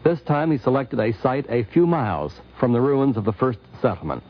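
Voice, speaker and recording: A man reading scripted narration for a 1950s radio documentary in a formal, measured manner. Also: medium pitch, very animated. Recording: dull 1950s radio recording.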